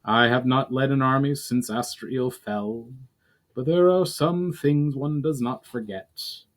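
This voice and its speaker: An actor's elderly elven general from a fantasy storybook, voiced by a man. He is calm and grave, speaking in a measured, forgiving way. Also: medium pitch, lightly acted. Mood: solemn. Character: ancient, calm male elf general, solemn and forgiving.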